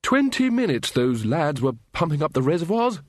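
Blustering old male wizard, played by a male narrator, raised pitch, lively swings, hesitant ums, absent-minded, hearty, fantasy audiobook. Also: normal speed, no room sound, studio quality. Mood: bluff, jovial.

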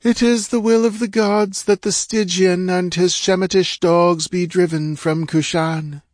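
Man voicing a high, cold, imperious goddess oracle. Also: steady tone, unhurried, no room sound, studio quality. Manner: detached, impersonal, commanding.

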